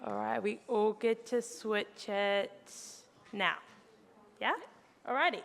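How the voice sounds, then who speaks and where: A woman's voice: mid-range voice, takes it slowly, creaky, fairly bright tone, in a large hall, slight background noise. A young New Zealand woman, a student, presenting to an audience in an upbeat, encouraging way. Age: young adult.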